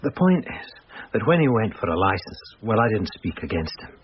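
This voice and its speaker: An actor playing a hungover, apologetic police detective sergeant in a radio drama, halting and deferential. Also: male voice, fairly low pitch, big pitch swings. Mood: earnest, muddled. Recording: dull lo-fi radio audio, faint noise.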